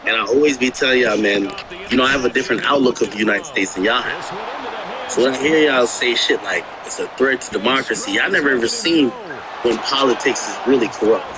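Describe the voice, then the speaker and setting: A man's voice: mid-range voice, lively intonation, fairly quick, a crowd in the background, rough recording. A man from Jamaica ranting about politics in a blunt, profane way, often stammering and restarting his sentences. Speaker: streamer. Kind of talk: casual political rant.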